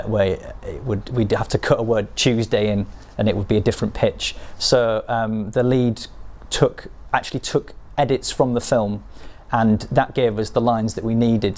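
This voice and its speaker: A man giving an unscripted behind-the-scenes explanation as a game developer, hesitant and halting as he thinks aloud. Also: fairly low pitch, darkish tone.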